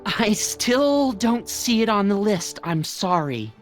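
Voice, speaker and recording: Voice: medium pitch, big pitch swings, slow pace. An actor playing a stodgy, apologetic fair official in a video game, formal and hesitant, drawing out the words. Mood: flustered, apologetic. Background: music.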